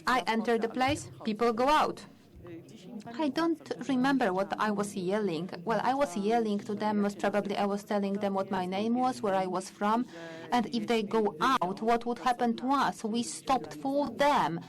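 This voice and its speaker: A woman's voice: fairly high pitch, normal speed. An older woman giving a personal testimony at a conference, hesitant and full of fillers and restarts. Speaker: conference speaker. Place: large conference hall. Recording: conference hall with audience noise.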